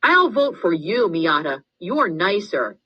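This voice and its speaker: A woman's voice: lowish voice, very animated, takes it slowly, breathy edge, no echo, highs cut off, exaggerated voice. A woman voicing a cheeky, mischievous schoolboy class clown who teases and heckles in a storybook read-aloud. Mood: teasing. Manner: loud, teasing, blurting.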